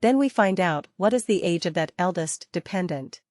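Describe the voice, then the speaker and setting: A woman working as a software trainer, teaching interactively step by step, repeating key points and posing questions to learners. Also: fairly low pitch, lively intonation, moderate pace, dry recording, clean studio sound.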